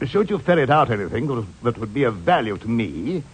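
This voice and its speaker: An actor playing a pompous, flustered scheming captain in a 1940s radio drama, stammering and effusive with his gentlemanly phrases. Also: mid-range voice, big pitch swings, brisk, poor audio. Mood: scheming, courteous. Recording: old radio recording, clear background noise.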